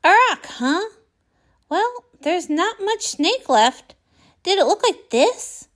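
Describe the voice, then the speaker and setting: A woman's voice: very high-pitched, big pitch swings, slow pace, a bit bright, pitched above the performer's own voice, exaggerated voice. A woman voicing a kindly, determined young heroine pony in a storybook, encouraging and full of questions. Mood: curious, eager. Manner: encouraging, inquisitive.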